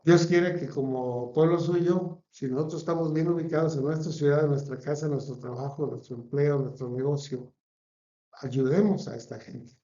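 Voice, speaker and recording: A man's voice: on the high side, steady tone, normal speed, warm tone, echoey room, dull audio. A man with a Mexican accent preaching a sermon in an earnest cadence, stacking phrases one after another. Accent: Mexican Spanish. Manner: earnest, repetitive listing cadence.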